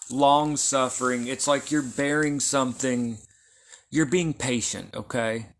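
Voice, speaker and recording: A man teaches esoteric lore at a slow, unhurried pace. He explains patiently and circles back over each point, often stopping to check in with listeners. His voice sits in an ordinary middle pitch. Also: a bit bright. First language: not Hebrew. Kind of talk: informal lecture.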